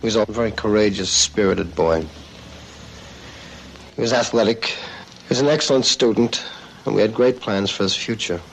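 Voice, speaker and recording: Middle-aged man, lowish voice, interviewed, faintly noisy 1950s recording. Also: moderate pace, long pauses. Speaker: bereaved father interviewed.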